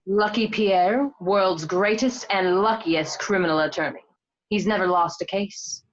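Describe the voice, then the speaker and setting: A woman's voice: medium pitch, a little slow, lightly acted. A woman voicing a sly female cat burglar in an amateur radio play, composed and demanding. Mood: scheming. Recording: dull lo-fi home recording.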